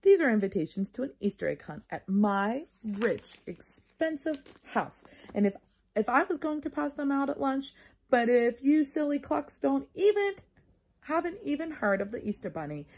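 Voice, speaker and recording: A woman's voice: fairly high pitch, very animated, moderate pace, breathy edge, voice pushed up, full character voice. A woman voicing a boastful, huffy first-grade girl in a children's storybook read-aloud. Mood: huffy anger.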